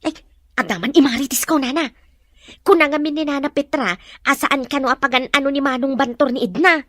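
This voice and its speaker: An actress playing a nosy, brazen village gossip in an Ilocano audio drama, with an Ilocano accent and a sing-song teasing manner. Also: female voice, high-pitched, a little fast, fairly bright tone, no room sound, clean studio sound. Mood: gossipy.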